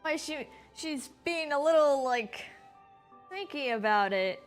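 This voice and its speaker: A woman's voice: sits high, lively intonation, slow. A woman streamer giving casual, chatty, informal commentary as she plays a video game. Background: game music.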